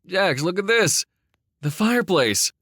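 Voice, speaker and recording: A man's voice: high-pitched, unhurried, breathy edge, no echo, clean studio sound, pitched above the performer's own voice, heavily acted. A man voicing a stoic, protective grown-up twin brother in a dramatic audiobook story. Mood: awed. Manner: steady, comforting.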